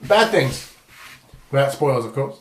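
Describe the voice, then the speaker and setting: A man's voice: medium pitch, very animated, measured pace. An Australian man hosting a podcast, casually talking through a film review in an informal, hedging way. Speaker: podcast host. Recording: echoey room, far from mic.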